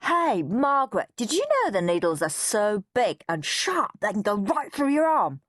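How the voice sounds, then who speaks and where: A woman's voice: mid-range voice, very animated, normal speed, no echo, studio quality, voice pushed up. A woman voicing a horrid young boy, boastful, cowardly and melodramatic, in a children's storybook. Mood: taunting. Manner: scornful, melodramatic.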